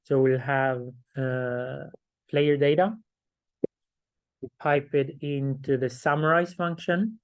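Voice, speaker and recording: A middle-aged man, hoarse and losing his voice, giving a class lecture and explaining each step slowly, often checking with "right?". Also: medium pitch, fairly even intonation, dull audio. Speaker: instructor.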